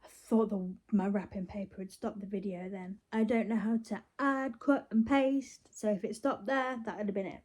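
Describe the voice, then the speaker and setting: A woman's voice: medium pitch, fairly even intonation, normal speed, few pauses, a little mellow. A British woman vlogging casually on her phone, rambling playfully and half talking to herself as she goes. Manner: chatty, playful, talks and mutters to self. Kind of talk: casual rambling chat to camera while wrapping gifts.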